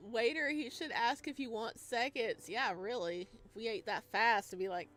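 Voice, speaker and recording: Expressive woman reading a visual novel. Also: on the high side, measured pace. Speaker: gaming streamer reading a visual novel aloud. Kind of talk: expressive story narration with casual asides.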